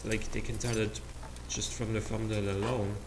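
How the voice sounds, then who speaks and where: A man's voice: lowish voice, flat delivery, normal speed. A young man from Austria, a native German speaker with an Austrian accent, rambling casually with many fillers and restarts while playing a game. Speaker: let's play host. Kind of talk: casual rambling commentary over gameplay. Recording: noisy home recording.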